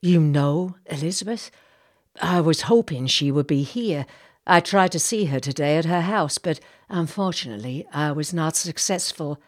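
Female reader as male Confederate officer for audiobook, restrained, courteous, lowish voice, formal phrasing. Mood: concerned, polite. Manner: formal, polite, measured. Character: courteous, restrained Confederate army captain, a man. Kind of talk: audiobook character dialogue.

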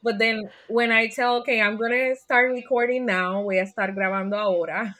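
A woman from Puerto Rico with a Puerto Rican Spanish accent, a guest answering interview questions thoughtfully, with frequent restarts and soft laughs. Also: a little high, fairly even intonation, fairly quick. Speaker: podcast guest.

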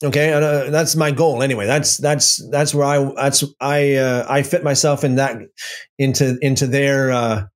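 A man's voice: mid-range voice, steady tone, moderate pace. A man hosting a livestream Bible study, reading out viewer comments and answering them with many restarts and fillers. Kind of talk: casual livestream Bible talk.

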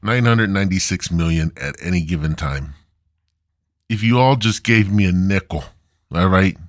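A man hosting a solo comedy podcast, rambling casually and crudely with drawn-out, mock-grandiose theatrical delivery. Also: deep voice, no echo, clean studio sound. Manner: crude, foul-mouthed. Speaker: podcast host.

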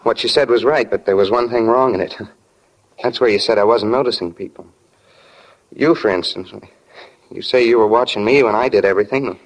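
Man playing a low-voiced, bashful teenage boy. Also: close to an everyday voice, rough recording. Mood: earnest, shy. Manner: halting, sincere, aw-shucks. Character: earnest, bashful small-town teenage boy in love. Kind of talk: 1940s radio drama acting.

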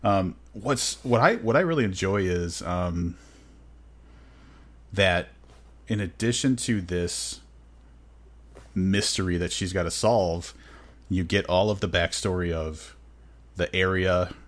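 Low-voiced man chatting about books. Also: slow pace, long pauses, fairly bright tone. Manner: hesitant, filler-heavy, enthusiastic. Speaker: podcast co-host. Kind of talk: casual podcast chat.

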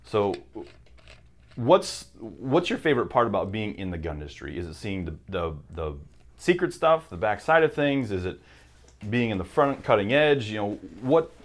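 Guy with a low voice that swings up and down, asking stuff, stops and restarts. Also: sing-song, moderate pace. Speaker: interviewer. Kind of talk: casual interview questions.

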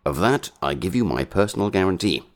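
An actor playing a courteous, scheming villain in an audio drama, speaking in formal, deliberate phrases. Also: male voice, low-pitched, expressive. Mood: smooth, assured.

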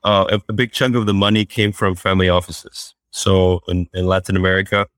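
A man being interviewed as a startup founder, answering in a halting way with fillers and restarts. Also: sits low, level tone, a bit bright, no room sound. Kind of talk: remote interview. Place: Fort Worth, Texas.

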